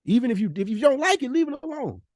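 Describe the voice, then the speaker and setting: A man's voice: extremely high pitch, big pitch swings, fast talker, darkish tone, no room sound, studio quality. A man co-hosting a podcast in casual, slangy debate, arguing his points emphatically and swearing freely. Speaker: podcast co-host.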